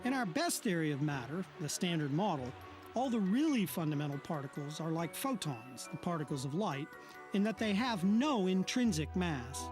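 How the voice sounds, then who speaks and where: A man reads a documentary script as a science correspondent. His pitch swings widely as he explains. Loud music plays under his voice. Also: normal speed. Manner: lively, explanatory delivery. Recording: narration over loud music.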